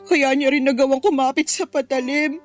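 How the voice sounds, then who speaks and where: Actress as a very high-voiced, soft-hearted jilted Filipina. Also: female voice, fairly even intonation, normal speed, soft background music, close to an everyday voice. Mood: hesitant, resigned. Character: soft-hearted jilted woman, hurt and too forgiving. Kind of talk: Filipino radio drama acting.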